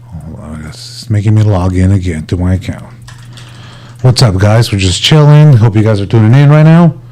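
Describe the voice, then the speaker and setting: A man's voice: on the low side, moderate pace, mellow. A man hosting a livestream, chatting casually and informally with his viewers as if they were buddies. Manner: informal, laid-back, addresses viewers as buddies. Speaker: livestream host.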